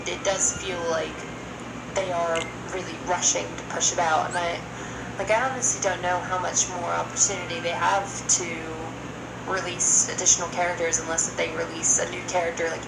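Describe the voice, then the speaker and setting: A woman's voice: lowish voice, level tone, fairly quick, vocal fry, heavy background noise. A woman in a casual, opinionated podcast discussion, speaking in an earnest, exasperated way. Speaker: podcast co-host. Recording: roomy echo, loud music behind. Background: loud background music.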